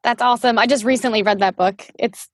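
A woman's voice: on the high side, no echo, studio quality, faint music behind. A woman hosting a podcast in casual chat, upbeat and encouraging with her guest. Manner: upbeat, encouraging, quick to laugh. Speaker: podcast host.

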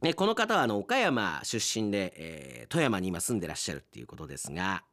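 A man's voice: low-pitched, sing-song, brisk, a bit bright. A man hosting chatty radio after-show talk, reading out submissions with playful asides. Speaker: radio host and announcer.

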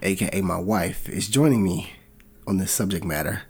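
A man co-hosting a casual podcast, his voice sitting low and a touch raspy because he has a cold. He talks earnestly and loosely, with plenty of ums and restarts. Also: moderate pace, darkish tone. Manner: earnest, loose, with many fillers. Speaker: podcast co-host.

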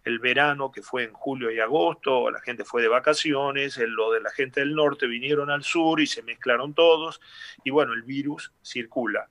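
Middle-aged Argentine man, Tucumán accent, gravelly, dry close sound, halting delivery. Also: medium pitch, fairly even intonation, a bit bright, no room sound. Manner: hesitant, many fillers and restarts. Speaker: singer and former rugby player, guest.

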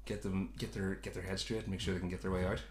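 A man's voice: low voice, level tone, quick pace, echoey room. A man hosting a podcast, chatting casually about a sitcom in a rambling, self-correcting way. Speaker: podcast host.

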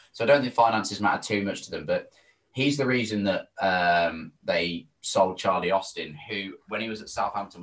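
A young man with a lowish, forceful voice in casual sports banter. He is blunt and matey, swearing freely. He sits a little away from the microphone. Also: distant mic, rough recording. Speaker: football podcast co-host.

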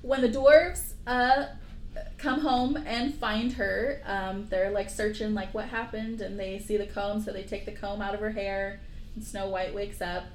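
A woman host casually retelling a dark fairy tale in an informal, slangy way, with joking asides. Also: mid-range voice, steady tone, a little slow. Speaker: podcast host. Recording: roomy echo with faint background noise.

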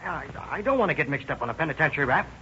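An actor playing a scared teenage suspect, earnest and agitated, in 1930s radio crime drama with slangy talk. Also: male voice, a little high, lively intonation, rapid-fire, bright, forceful tone. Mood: anxious, insistent. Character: scared, eager teenage male suspect denying involvement. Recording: muffled, noisy old radio recording.

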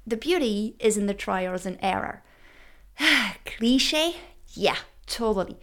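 A woman's voice: mid-range voice, lively intonation, slow, fairly bright tone. Upbeat French woman hosting a podcast. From France. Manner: upbeat and playful, with rising questions. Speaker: podcast host. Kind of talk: scripted solo podcast talk.

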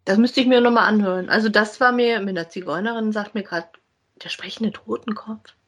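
A woman's voice: mid-range voice, a little fast. A woman, chatting casually as a podcast co-host about audio dramas.

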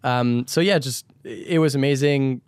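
Smooth-voiced young French man, podcasting. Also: mid-range voice, a little slow, no echo. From France. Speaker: podcast co-host. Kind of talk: conversational podcast hosting.

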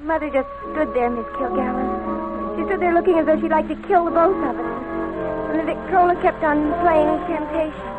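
A woman's voice: sits high, moderate pace, narrow, tinny audio, close to an everyday voice. A young American woman playing a nervous, lovestruck teenage girl in a 1940s radio drama, hesitant and earnest as she confides. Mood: nervous, confessing. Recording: old radio broadcast with music behind.

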